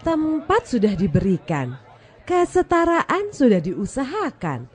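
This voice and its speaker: A woman playing a genial forum co-host, reassuring and gently wry, with crowd noise behind. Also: high-pitched, wildly swooping pitch, unhurried, full character voice. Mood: wry, soothing.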